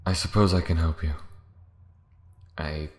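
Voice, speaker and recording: A man voicing a proud, lonely dragon in an ASMR fantasy roleplay, speaking formally and musingly with dramatic pauses and hushed drops. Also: fairly even intonation, roomy sound. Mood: hesitant, resigned. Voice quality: very deep, creaky. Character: lonely, proud male dragon, last survivor, wistful.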